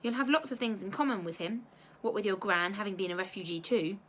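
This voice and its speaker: A woman's voice: medium pitch, a little fast, fairly bright tone, natural voice. Lady reading as a snooty scornful auntie, fake sweet, sneery, kids story, some noise. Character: snide, scornful, prejudiced aunt, adult woman.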